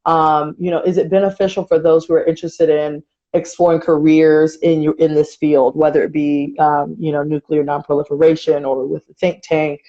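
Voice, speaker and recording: Low-voiced woman host on a dull call. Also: flat delivery, normal speed, hardly any pauses, warm tone. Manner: polite, hedging with "you know" and "um". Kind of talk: moderating an online interview.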